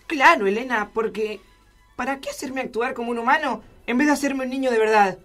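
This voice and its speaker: A man's voice: extremely high pitch, brisk, fairly bright tone, faint music behind. A man voicing a whiny, eager little wooden puppet boy in a comic radio play.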